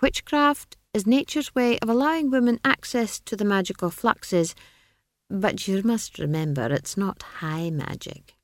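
A woman voicing a pompous, genially condescending old male wizard in an audiobook story, with airy, unhurried, patronising speech. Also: medium pitch, no room sound, clean studio sound, pitched above the performer's own voice, lightly acted.

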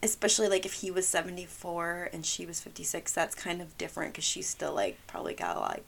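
A woman's voice: on the low side, expressive, brisk, gravelly, a bit bright. Woman, scratchy worn voice, bubbly, giggly, casual podcast chat. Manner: bubbly, giggly, says "like" often. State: scratchy, partly lost voice. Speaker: podcast co-host.

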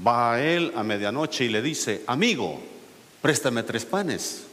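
Pastor preaching in an echoey hall. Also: male voice, medium pitch, expressive, slow pace, fairly bright tone. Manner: asks the congregation questions, rises to emphatic peaks. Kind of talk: sermon. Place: church sanctuary. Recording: echoey church hall with faint hiss.

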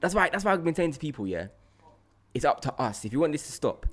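A young man hosting a podcast, chatting casually and slangily in an animated, repetitive way. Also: fairly high pitch, fast talker. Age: young adult. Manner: animated, repeats phrases for emphasis, slangy. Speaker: podcast host. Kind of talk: casual opinionated chat.

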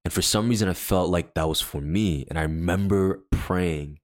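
Deep-voiced man telling a story. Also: normal speed, a little mellow. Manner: rambling, filler-heavy storytelling with many restarts. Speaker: podcast co-host; worship leader. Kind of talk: casual storytelling chat.

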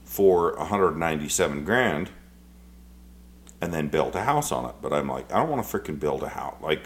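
Deep-voiced blunt man in his forties. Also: expressive, moderate pace. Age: 40s. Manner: blunt, swears a lot, rambling. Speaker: podcast co-host. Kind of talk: casual chat.

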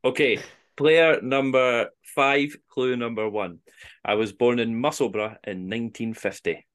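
A man's voice: mid-range voice, unhurried, a bit bright, clear, resonant tone. A man with a Scottish accent hosting an informal quiz, reading out clues and scores. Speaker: quiz host on a football podcast.

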